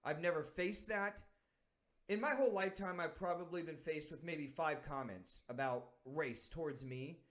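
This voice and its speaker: A man's voice: a little high, moderate pace, a bit bright. A man from San Diego, hosting a sports talk show and reflecting earnestly in a casual way. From San Diego, United States. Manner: earnest, thinking aloud with restarts.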